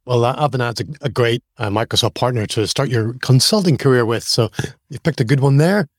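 A man's voice: medium pitch, a little fast, no echo, clean studio sound. A man hosting a tech podcast, reading a friendly scripted intro and then asking conversational questions. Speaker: podcast host. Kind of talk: podcast interview.

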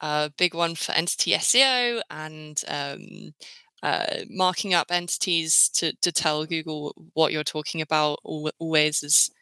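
Bright, clear southern English woman. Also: low voice, unhurried, no echo. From Luton, England. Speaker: podcast panel guest. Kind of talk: casual chat.

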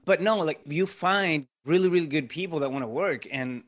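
A man's voice: on the high side, moderate pace, fairly bright tone. A man with a Mexican Spanish accent, a native Spanish speaker, talking as a podcast guest and explaining his business step by step with frequent tag questions. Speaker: podcast guest, real estate investor. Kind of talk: casual interview talk.

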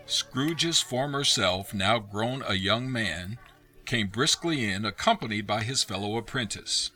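A man narrating a radio play as scripted dramatic storytelling, expressive and theatrical. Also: on the low side, measured pace.

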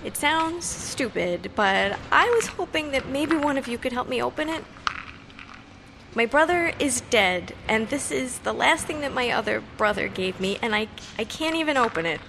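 Grieving young woman, creaky voice. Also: fairly high pitch, lively intonation, noisy background. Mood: anguished, desperate. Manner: halting, rambling, emotional, with nervous repeats. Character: grieving, self-dramatizing young woman speaking at her brother's memorial. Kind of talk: audio drama monologue, naturalistic confessional speech.

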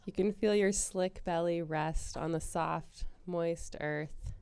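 A woman leading a guided meditation, giving soothing, unhurried instructions with pauses between phrases. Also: fairly low pitch, steady tone, gravelly, darkish tone.

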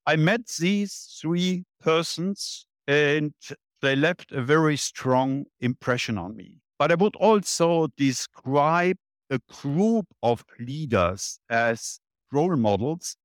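An older man in his seventies speaks as an interview guest, setting out his points in order at a measured, deliberate pace. His voice sits a little higher than most men's. The sound is dry and close, with no room around it. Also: expressive, no echo. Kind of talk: interview answers.

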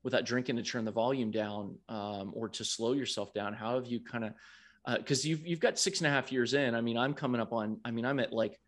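Man, podcast host, loud, talkative, frequent laughter, casual interview. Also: medium pitch, fairly quick.

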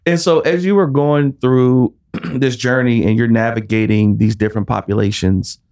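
A man's voice: medium pitch, fairly even intonation, normal speed, a little mellow. A man hosting a podcast, asking his guest a long question and affirming her with short interjections. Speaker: podcast host. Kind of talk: interview, supportive back-channelling.

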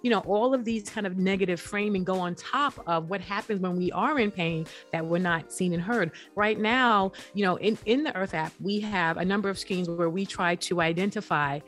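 A woman's voice: mid-range voice, moderate pace, few pauses, faint music behind. A woman founder speaking as an interview guest in an earnest, emphatic way, often checking in with "right?". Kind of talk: conversational interview.

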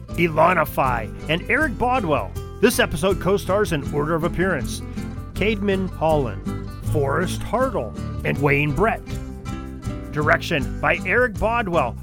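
A man reading a scripted podcast announcement as the announcer. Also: on the high side. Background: music.

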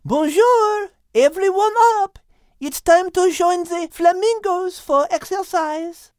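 A woman's voice: very high-pitched, a little mellow, no room sound, clean studio sound. A woman voicing a perky, bossy female cat with a French accent in a children's audio drama. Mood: cheerful. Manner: brisk, commanding, peppers talk with French words. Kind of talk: scripted character acting for children's podcast.

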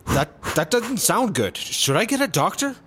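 An actor playing an anxious, devoted best buddy in a comedy audio drama, fretful and supportive. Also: male voice, high voice, sing-song, normal speed, fairly bright tone, husky, full character voice. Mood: concerned.

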